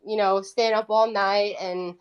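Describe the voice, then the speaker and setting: A woman's voice: medium pitch, fairly even intonation, a little slow, clean tone, lo-fi sound. Woman chatting casually. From Italy. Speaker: guest, independent musician. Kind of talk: casual conversational storytelling.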